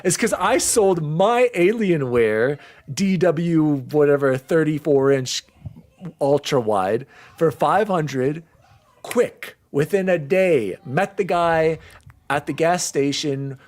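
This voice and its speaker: A man hosting a casual podcast, ranting in an exasperated, animated way. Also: fairly high pitch, takes it slowly. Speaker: podcast host.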